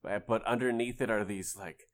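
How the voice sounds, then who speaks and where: Male podcast panelist with a low voice, informal and conversational. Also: steady tone, moderate pace. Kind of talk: casual chat.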